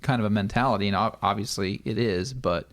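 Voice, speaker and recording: A man's voice: on the low side, a little fast, dry recording. A man hosting a casual interview, talking in a conversational, agreeable way. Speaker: podcast host.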